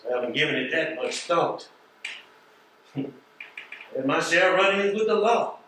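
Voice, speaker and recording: An actor with an American accent playing a wry, streetwise, philosophical mail carrier in a stage play, folksy and colloquial. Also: male voice, fairly high pitch, animated, normal speed, bright, forceful tone. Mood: easygoing. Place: theatre stage, recorded from afar. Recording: echoey stage, distant mic, faint noise.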